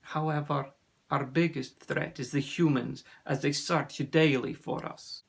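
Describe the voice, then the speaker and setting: A man reading a children's story, voicing a proud, grave father sea serpent in a solemn, measured manner. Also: fairly high pitch, fairly even intonation, creaky, slightly rough, heavily acted. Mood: grave, urgent.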